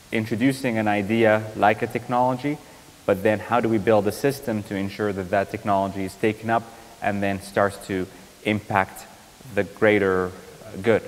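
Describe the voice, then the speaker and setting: Man lecturing in a reverberant hall. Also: lowish voice. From Iraq. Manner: measured, thoughtful, with frequent ums and restarts. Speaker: guest lecturer. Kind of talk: academic lecture.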